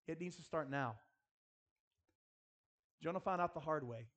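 A man's voice: fairly high pitch, a little slow, fairly bright tone. Man preaching an earnest sermon. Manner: earnest, exhorting, direct address to listeners. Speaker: preacher at a school chapel. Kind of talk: sermon with rhetorical questions and prayer.

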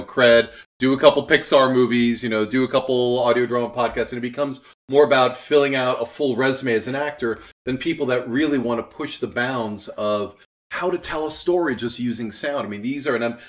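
A man speaking as a creator being interviewed, explaining his craft in an articulate, earnest, thoughtful way. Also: mid-range voice. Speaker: audio drama writer and creator, interview guest.

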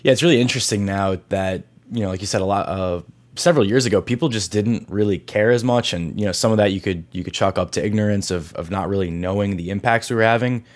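A man hosting an interview show, reading a smooth scripted introduction and asking questions conversationally. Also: on the low side, fast. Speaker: podcast host.

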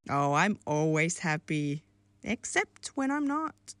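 A woman's voice: sits low, very animated, slow, no echo, clean studio sound, heavily acted. A woman playing an upbeat, helpful character full of ideas in an audio drama.

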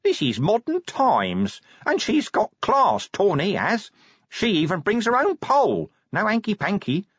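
A man's voice: high-pitched, huge pitch swings, measured pace, few pauses, fairly bright tone, slightly rough, dry recording, lo-fi sound, voice pushed up, exaggerated voice. A man voicing a guileless, cheeky police underling in an audiobook, deferential and defensive in colloquial speech. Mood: hurt, defensive. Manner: deferential, innocent-sounding, colloquial. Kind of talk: audiobook character dialogue.

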